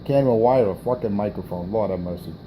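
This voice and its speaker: A man's voice: lowish voice, fast talker, very noisy. A man trash-talking on a CB radio morning show, brash and profane, taunting another operator and repeating his jabs.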